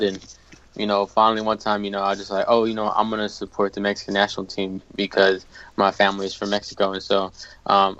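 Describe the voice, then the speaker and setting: A twenty-year-old man, a podcast guest, chatting casually and rambling with many fillers over a call. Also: lowish voice. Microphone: call audio. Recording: dry, muffled call audio.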